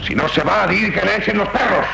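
A man's voice: sits high, a little fast, creaky. An actor with a Castilian Spanish accent playing a proud, hot-tempered nobleman and father, commanding and theatrical in 1970s radio drama style. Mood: angry, commanding. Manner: haughty, blustering, commanding. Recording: noisy old radio recording.

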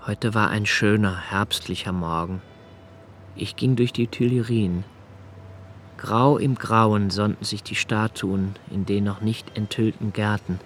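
An actor playing a brooding, anxious man of twenty-eight in a radio play, narrating his notebooks in a measured, reflective way with deliberate pauses. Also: on the low side, fairly even intonation, a little hiss or hum, close to an everyday voice. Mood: pensive, observant. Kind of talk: literary radio play monologue, first-person narration.

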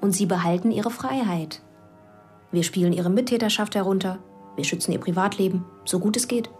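An actress playing a cool, calculating police investigator who talks tersely, with whispered inner asides. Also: female voice, mid-range voice, fairly quick, darkish tone, faint music behind, lightly acted. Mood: cool, pressing. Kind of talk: radio play acting.

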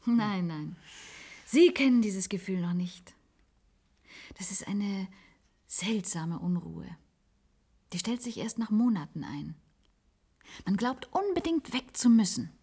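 Husky, wistful resigned woman. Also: medium pitch, very animated, takes it slowly, pauses often, warm tone, exaggerated voice. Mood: wistful longing. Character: wistful former secretary, resigned patient living in an isolated institute. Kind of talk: German science-fiction radio play acting.

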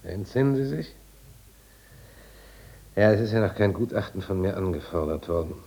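Casting: patronising psychiatrist, deep voice, genial but probing, unhurried, 1950s radio drama. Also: male voice, animated, creaky, dark tone, full character voice. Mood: patronising, measured. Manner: patronising, unhurried, murmuring little questions. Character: smugly genial, probing male asylum doctor. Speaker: medical officer and psychiatrist.

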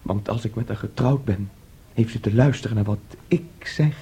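An actor playing an anxious, boyish young man, naive and boastful, with an agitated, halting delivery in a Dutch radio play. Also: on the low side, gravelly, mellow, husky, heavily acted. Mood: brooding, defiant. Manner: agitated, halting, repeating himself.

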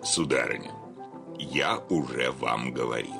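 A man's voice: a bass voice, unhurried, vocal fry, full character voice. An actor playing a pompous, gouty male bank director in a radio play, formal, weary and long-suffering. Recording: music behind the voice.

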